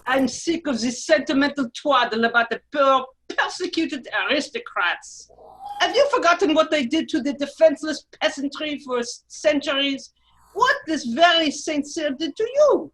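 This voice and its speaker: A woman playing a menacing, coldly scheming male French agent in an amateur radio play read, insinuating and deliberate. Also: high-pitched, animated, a bit bright, low-quality recording. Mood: cold, scornful.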